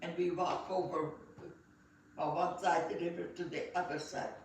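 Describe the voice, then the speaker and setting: A woman, recalling her life in an interview in a halting manner full of fillers and restarts. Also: lowish voice, little pitch movement, a little hiss or hum. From Belgium. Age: 90s. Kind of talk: reminiscing storytelling. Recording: echoey room, voice set far from the mic.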